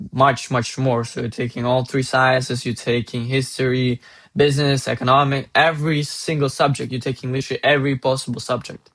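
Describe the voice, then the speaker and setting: A young man from Russia with a Russian accent, giving earnest, halting advice as a student and restarting phrases mid-sentence. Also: mid-range voice, fairly bright tone. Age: young adult. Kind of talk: casual advice monologue.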